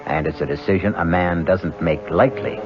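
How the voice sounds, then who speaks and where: A man's voice: low voice, normal speed. A man giving dramatic scripted narration as host of a radio drama. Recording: muffled old radio, loud music.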